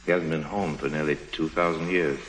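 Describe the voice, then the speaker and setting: Casting: urbane, insinuating swindler-narrator, deep-pitched, wry confidential delivery, vintage radio sound. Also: male voice, fairly even intonation, a little fast, fairly bright tone. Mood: smooth, charming. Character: suave middle-aged scheming swindler, smooth charmer and narrator. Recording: vintage radio recording with faint music.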